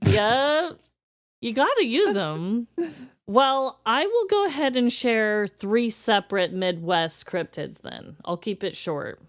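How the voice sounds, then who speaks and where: A woman's voice: fairly high pitch, big pitch swings, takes it slowly, dry recording, clean studio sound. A woman co-hosting a podcast, chatting casually and reading from prepared notes. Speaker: podcast co-host.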